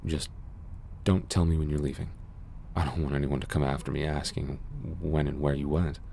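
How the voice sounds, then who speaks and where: A man playing a mysterious, guarded cyberpunk underworld doctor in an ASMR roleplay, speaking gently in a measured, formal, reassuring way. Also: a bass voice, little pitch movement, vocal fry, slight background noise. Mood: calm, discreet. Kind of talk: ASMR roleplay, soothing scripted monologue.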